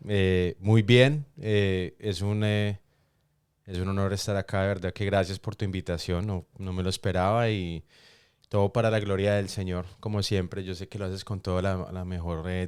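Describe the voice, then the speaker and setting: A man giving a personal testimony in conversation, speaking reflectively with halting pauses. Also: low voice, a little mellow, smooth, studio quality. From Colombia, raised in New York from age four. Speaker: podcast guest. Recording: roomy echo, faint music behind.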